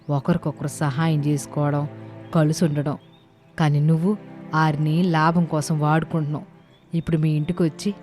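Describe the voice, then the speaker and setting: A woman's voice: sits low, mellow, close to an everyday voice. A woman playing a bossy, sensible older village aunt who talks in colloquial, scolding, speech. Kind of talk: animated moral-story dialogue.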